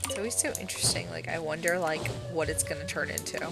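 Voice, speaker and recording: A woman's voice: deep voice, steady tone, fast talker, gravelly. A young woman streaming a game, chatting in a bubbly way and thinking aloud. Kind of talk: casual chat while gaming. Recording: voice over loud game music.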